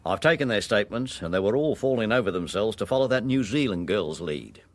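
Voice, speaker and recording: Bloke playing english police sidekick in a radio drama, deep-ish voice, lots of up and down, stolid and loyal. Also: male voice, expressive, fairly quick, lightly acted. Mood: respectful, confiding. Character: loyal, plain-spoken, respectful police sergeant-type sidekick. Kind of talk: British radio drama acting.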